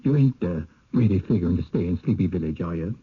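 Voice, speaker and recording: A very raspy, man in an old radio play. He is sly and hesitant, with folksy drawn-out evasions and a swinging pitch, on a dull, muffled recording. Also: lively intonation. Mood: confiding. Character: sly, folksy small-town man who fibs and warns newcomers. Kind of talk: radio drama acting.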